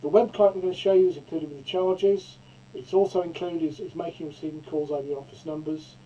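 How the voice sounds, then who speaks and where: A middle-aged man giving an informal software demonstration in a halting way, often stammering and repeating words. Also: a little high, steady tone, muffled recording. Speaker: presenter of a software tutorial.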